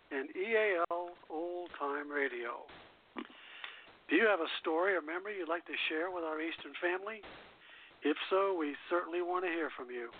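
A man hosting a radio show, reading a scripted sign-off over a narrow, phone-like line. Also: on the high side.

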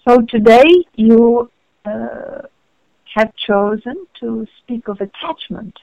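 Tender motherly spirit voiced by a woman, lowered and hushed, slow and loving, thin phone-line sound. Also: animated, gravelly, no echo, exaggerated voice. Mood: serene tender. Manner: slow, soft, affectionate address. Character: gentle motherly female spirit, serene and tender.

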